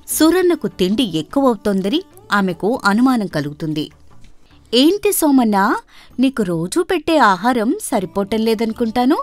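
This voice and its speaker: A woman narrating a scripted animated story as a storyteller. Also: medium pitch.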